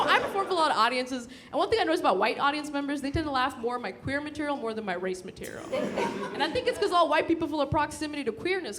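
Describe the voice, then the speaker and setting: A young American woman performing stand-up comedy in a casual, slangy, profane way, acting out bits of dialogue. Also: high voice. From grew up in San Diego, USA. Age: young adult. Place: live comedy show before an audience. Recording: live show with loud audience noise.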